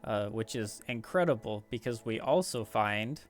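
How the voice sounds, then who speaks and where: A man's voice: lowish voice, lively intonation, normal speed. A man casually commentating on his gameplay, talking through strategy in a hesitant, rambling way. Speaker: gaming video host. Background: background music.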